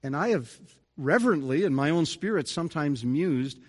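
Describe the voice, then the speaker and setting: A man's voice: a little high, expressive, normal speed. A middle-aged man, a pastor delivering an earnest, conversational sermon with folksy asides. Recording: reverberant church hall.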